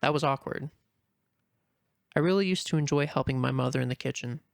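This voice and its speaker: A man voicing a shy, earnest young female rabbit in an amateur dramatic reading, speaking softly and from the heart. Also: medium pitch, fairly quick, creaky, dry recording, studio quality, natural voice. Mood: warm. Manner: soft, heartfelt, anxious inner murmuring. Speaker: voice actor in a fan reading.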